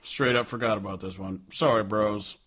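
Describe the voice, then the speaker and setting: A man's voice: lowish voice, steady tone, normal speed, a bit bright, slight background noise. A man chatting casually as a podcast co-host, blunt and joking, with plenty of swearing. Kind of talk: casual chat.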